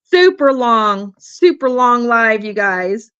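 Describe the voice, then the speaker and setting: A woman's voice: a little high, lively intonation, slow, a bit bright, clean tone, dry recording, muffled recording. A woman hosting a casual livestream, talking in a chatty way with lots of fillers and restarts, her voice starting to wear out. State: losing her voice. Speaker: livestream host.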